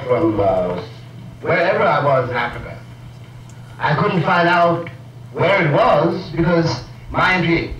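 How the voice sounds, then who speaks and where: Measured man in an old film clip. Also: a little high, fairly bright tone, reverberant, recorded from a distance, dull audio, slight background noise. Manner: measured, ironic, thoughtful. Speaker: speaker in an archival film clip. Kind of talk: reflective storytelling speech. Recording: old film clip played in a room from afar.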